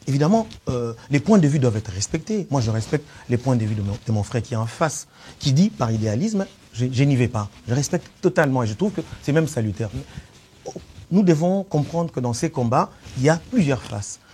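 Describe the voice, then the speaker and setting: A man's voice: medium pitch, lively intonation, a little fast, slight background noise. A man of Congolese origin, a political candidate, arguing his case in a debate, insistent and emphatic, often repeating words. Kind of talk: debate on a web TV show.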